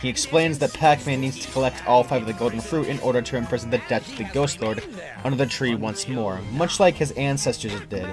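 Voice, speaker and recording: A man's voice: medium pitch, a little fast. A man reading a scripted first-person creepypasta story as its narrator. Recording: music under the narration.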